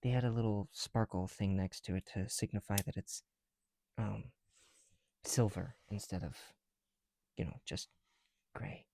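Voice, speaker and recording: A soft-spoken man chatting casually in an ASMR style, often dropping into whispers, with a creaky voice and a rambling, halting delivery, close to the mic. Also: lowish voice, steady tone. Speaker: ASMR video creator. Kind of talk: casual ASMR chat while building bricks.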